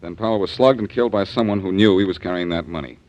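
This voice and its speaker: An actor playing a cool, wisecracking detective with a dry, calm manner, in 1940s radio acting style. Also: male voice, sits low, fast, dry recording, natural voice. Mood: probing. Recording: dull lo-fi old radio recording.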